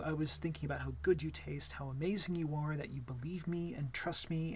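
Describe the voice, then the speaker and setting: Man acting as a breathy, shy young vampire. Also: on the high side. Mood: flustered, apologetic. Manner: hesitant, stammering, apologetic, soft-spoken. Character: shy, awkward young male vampire, gentle and smitten. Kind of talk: intimate ASMR roleplay acting.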